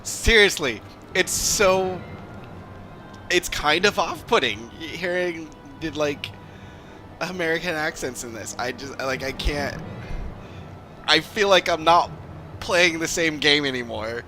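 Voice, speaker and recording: A man casually commentating on a game he is streaming, amused and halting, often repeating himself. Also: fairly high pitch, expressive, bright, forceful tone, faint music behind. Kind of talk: casual live playthrough commentary.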